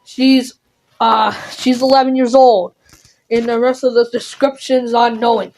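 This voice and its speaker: A woman reading out an improvised mock emergency alert in a halting, deliberate way, with stumbles. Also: a little high, level tone, a little mellow, slight background noise, low-quality recording.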